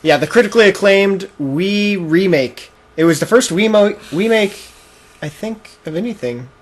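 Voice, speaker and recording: A man's voice: sits high, lively intonation, normal speed. A man co-hosting a gaming show, bantering casually as he comments on video game play. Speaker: video game podcast host. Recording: noticeable background noise.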